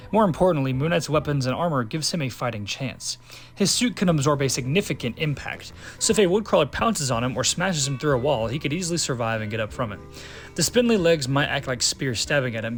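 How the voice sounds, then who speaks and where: A man narrating a scripted fan breakdown, mixing analysis with storytelling. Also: medium pitch. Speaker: narrator of a fan what-if video.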